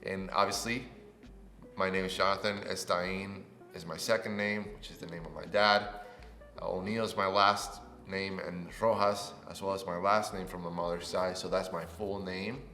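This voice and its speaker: A man's voice: low voice, normal speed, soft background music. Chatty young man in an echoey room. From Puerto Rico. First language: Spanish. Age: 20s. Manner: upbeat and chatty, rising with excitement. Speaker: YouTuber. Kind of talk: casual vlog self-introduction. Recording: echoey room with long reverb.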